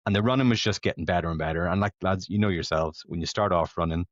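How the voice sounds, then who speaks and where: A man's voice: low-pitched, fast talker, no echo. A man in his late thirties with a Northern Irish accent, chatting casually and ramblingly as a podcast guest. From Belfast, Northern Ireland. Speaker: podcast guest, amateur competitive runner. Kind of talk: casual conversational storytelling. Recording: dull lo-fi podcast audio.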